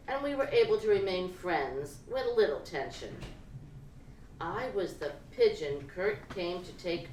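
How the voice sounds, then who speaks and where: A woman gives an expressive literary reading of first-person prose at a live event, phrasing it deliberately and dramatically. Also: fairly low pitch. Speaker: reader of fiction at a live event. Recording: roomy, distant live-event recording with noise.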